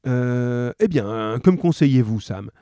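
A man voicing a cheerful, eager housewife in a read-aloud story, in a raised, sweet falsetto. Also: lively intonation, unhurried, dark tone, smooth, no echo, studio quality, close to an everyday voice.

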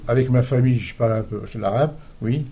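A man's voice: medium pitch, a little fast, dark tone, husky. A man answering casually in an interview, speaking in a hesitant, reflective way. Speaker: school technical staff member. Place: recorded at a secondary school.

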